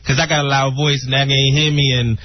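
A man's voice: mid-range voice, little pitch movement, a little fast, dry recording. A man from the Washington DC suburbs, a guest bantering casually on a radio show. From Maryland, near Washington DC. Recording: dull lo-fi radio sound.

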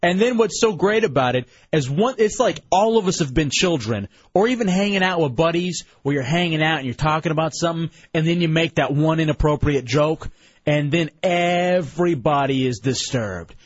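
A man hosting a radio talk show, animated and mocking, quick to laugh. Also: fairly high pitch, no room sound, highs cut off. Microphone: studio microphone.

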